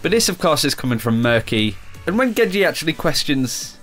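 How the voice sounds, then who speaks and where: A man's voice: fairly high pitch, very animated, a little fast, fairly bright tone, soft background music. A man hosting a gaming video with chatty, tongue-in-cheek commentary. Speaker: YouTube gaming host. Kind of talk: scripted-casual game commentary narration.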